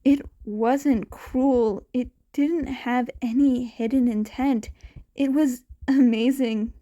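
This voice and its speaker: A woman narrates a story from a script in a fairly high voice. She takes her time, reading very slowly and deliberately, and now and then trips slightly over a word. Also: fairly even intonation, dark tone. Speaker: podfic narrator. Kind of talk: scripted fan fiction narration, read aloud.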